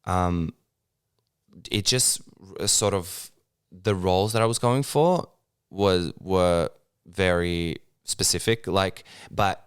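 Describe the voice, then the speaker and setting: Actor guest, man, low smooth voice, hesitant with fillers. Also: takes it slowly. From Serbia. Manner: hesitant, many fillers and restarts. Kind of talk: casual interview conversation.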